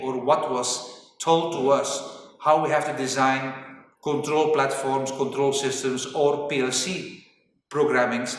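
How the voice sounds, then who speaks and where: A man's voice: mid-range voice, a bit bright. Flemish-accented man presenting in an echoey room. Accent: Flemish Belgian. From Belgium (Flanders). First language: Flemish Dutch. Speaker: webinar presenter, safety engineering trainer. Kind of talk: corporate webinar presentation. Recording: very echoey reverberant room, distant mic.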